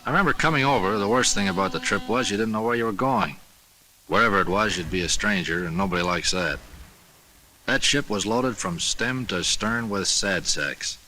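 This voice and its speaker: A man's voice: lowish voice, normal speed, background noise. An American man speaking as a soldier in a folksy, wry, conversational 1940s documentary voice-over. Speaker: soldier narrating war experience. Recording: 1940s film soundtrack with music behind.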